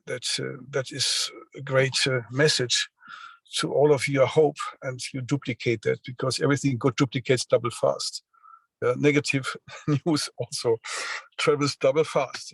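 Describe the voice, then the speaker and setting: A man in his sixties giving a motivational business talk over a video call, halting often with fillers and restarts. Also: medium pitch, vocal fry, no room sound, poor audio. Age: 60s. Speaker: seminar speaker.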